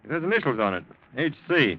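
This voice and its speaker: A man's voice: a little high, very animated, fast, no room sound. A man acting in an old radio drama as a wily, laconic police lieutenant who talks in a probing, hesitant way. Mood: sly, pointed. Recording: dull, lo-fi old radio recording.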